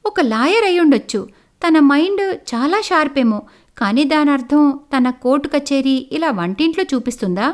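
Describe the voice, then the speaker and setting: Woman acting an indignant, high-voiced young daughter-in-law. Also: animated, moderate pace. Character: indignant elder daughter-in-law, young, complaining yet polite. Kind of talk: cartoon moral story acting.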